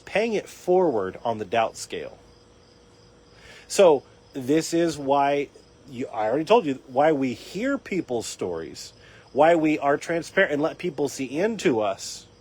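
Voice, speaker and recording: A man hosting a live faith and recovery talk, his voice a little raspy, explaining in an earnest teaching tone with frequent restarts. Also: mid-range voice, darkish tone. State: raspy voice from dryness. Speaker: host. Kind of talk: casual talk on a live stream.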